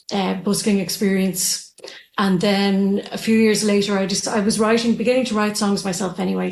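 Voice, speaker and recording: A woman in her forties with an Irish accent, a musician talking over a video call in a relaxed way, with light laughs and many fillers. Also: medium pitch, gravelly, roomy sound. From Ireland. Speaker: musician guest. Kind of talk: remote interview.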